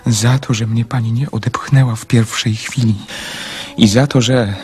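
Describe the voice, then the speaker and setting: An actor playing a lonely, tender young dreamer in love in a radio drama, earnest, emotional and romantic. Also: male voice, medium pitch, steady tone, moderate pace, darkish tone, slightly rough, soft background music. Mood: tender gratitude.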